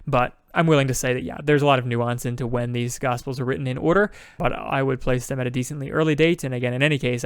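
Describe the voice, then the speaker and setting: A podcast co-host who talks fast and thinks aloud, backing up and restarting his points. His voice is smooth and clear, and it sits dry and close to the mic with no room sound. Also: medium pitch, no room sound. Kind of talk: casual discussion.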